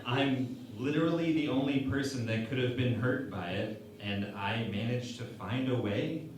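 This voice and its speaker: A man's voice: mid-range voice, moderate pace, slight background noise, lightly acted. A man playing a naive, sheepish hologram crewman in a sci-fi parody radio play, hesitant and understated. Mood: sheepish, indignant. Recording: echoey, distant, music underneath.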